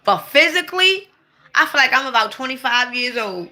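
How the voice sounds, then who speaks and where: Woman, 40s, very bright sharp tone, blunt, playful, loud bursts. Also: high voice, expressive, moderate pace. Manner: blunt, playful, swings to sudden loud outbursts. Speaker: livestream host. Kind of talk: casual livestream chat.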